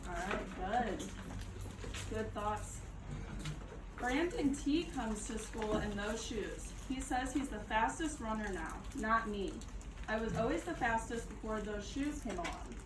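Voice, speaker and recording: A woman reads a picture book aloud in the role of a teacher, stopping to prompt the children and affirm their answers. She sounds set back from the microphone in a roomy space, with clear background noise around her voice. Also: on the high side, lively intonation, recorded from a distance. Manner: pauses to prompt and affirm listeners. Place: echoey room with children.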